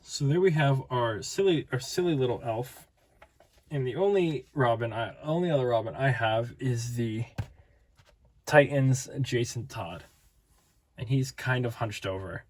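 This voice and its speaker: A man casually reviewing collectible figures, rambling and playful, tired with his voice starting to give out. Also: mid-range voice. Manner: rambling, playful, self-interrupting. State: tired, voice fading.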